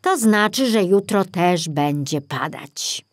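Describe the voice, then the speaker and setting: A woman's voice: lowish voice, animated, takes it slowly, dry recording. A woman voicing a mild, harried male forester in a children's storybook, with a weary, uncertain manner. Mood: tired. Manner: sighing, muttering, uncertain.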